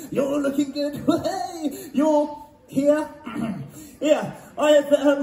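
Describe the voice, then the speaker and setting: Man playing a high, animated, cheeky panto lad. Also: full character voice. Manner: shouty, jokey, playful. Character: cheeky, boisterous young lad hero. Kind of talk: pantomime audience banter. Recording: echoey theatre with audience noise.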